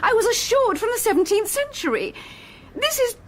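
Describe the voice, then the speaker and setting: An indignant customer in a radio drama. Her voice is extremely high and animated, insistent and thoroughly affronted. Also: normal speed. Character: indignant, exasperated female customer.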